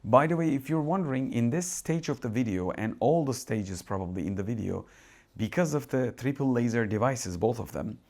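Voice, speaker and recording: A man from Turkey with a Turkish accent, a tech reviewer talking casually through settings as he changes them, thinking aloud with restarts and asides in a dark home cinema room. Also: medium pitch, expressive, moderate pace. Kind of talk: casual live product demo.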